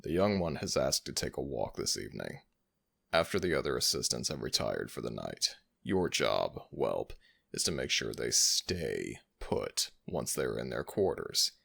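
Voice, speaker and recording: A man voicing an ancient jackal god of the dead in an ASMR audio roleplay, commanding yet tender, in a deep, slow, gravelly voice. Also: very animated, pushed and bright, full character voice.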